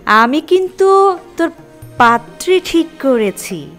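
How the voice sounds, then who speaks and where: A woman's voice: high-pitched, sing-song, takes it slowly, fairly bright tone, faint music behind, heavily acted. A woman acting a firm, practical, chiding mother arranging her son's marriage in an audio drama. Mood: calm, chiding. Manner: chiding, matter-of-fact.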